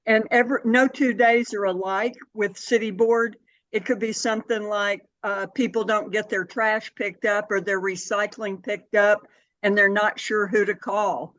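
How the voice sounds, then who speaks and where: A dull, slightly lo-fi and dry recording of an older woman. She speaks as an interview guest, explaining things in a measured, conversational way. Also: mid-range voice, level tone, fairly bright tone, no echo. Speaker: interview guest, city board member and anti-hunger advocate.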